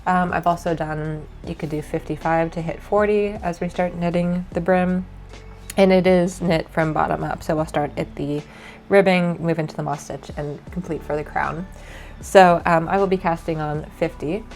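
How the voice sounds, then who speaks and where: A woman with a stuffy, cold-affected voice, explaining a knitting tutorial in a relaxed way with frequent ums. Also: lowish voice, normal speed, soft background music. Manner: relaxed, many ums and uhs. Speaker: knitting instructor.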